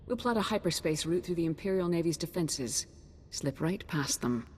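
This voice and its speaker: A woman's voice: on the low side, expressive, normal speed, a little mellow, a little hiss or hum, lightly acted. Woman acting a composed Jedi grand master, reverberant. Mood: composed, authoritative. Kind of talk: video game cutscene acting.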